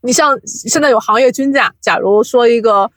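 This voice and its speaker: A woman's voice: fairly high pitch, normal speed, fairly bright tone, no echo. A woman guest on a podcast, explaining things casually in a giggly, somewhat hesitant way. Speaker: podcast guest, audio drama producer. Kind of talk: casual chat.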